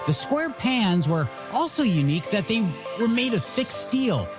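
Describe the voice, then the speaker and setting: A radio DJ host with a playful, showy delivery, presenting in energetic music-radio patter. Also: mid-range voice, unhurried. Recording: music bed behind voice.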